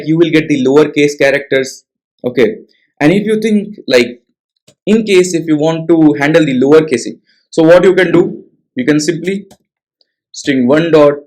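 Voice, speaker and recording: A man's voice: fairly high pitch, darkish tone, rough recording. A young man with an Indian English accent walking through a coding tutorial, talking casually and checking in with frequent tag questions. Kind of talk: screen-share coding tutorial.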